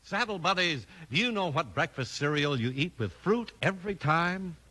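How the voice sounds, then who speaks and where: A man's voice: on the high side, expressive, moderate pace. A man announcing and selling in the enthusiastic, emphatic style of a 1950s radio show. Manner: enthusiastic, emphatic sales delivery. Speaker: announcer and sponsor pitchman. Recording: old radio broadcast with music. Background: music.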